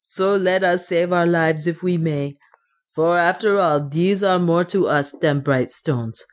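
Woman acting a low-voiced, loyal dwarf manservant. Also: unhurried, close to an everyday voice. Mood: practical. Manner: earnest, deferential. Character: loyal, brave dwarf manservant, plain-spoken and practical. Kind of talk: dramatised audiobook dialogue.

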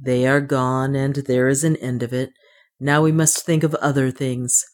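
A woman's voice: very deep voice, little pitch movement, unhurried, darkish tone. A woman voicing a grim but kindly male adventurer in an audiobook story, grave and firm in manner. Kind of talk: dramatised audiobook dialogue.

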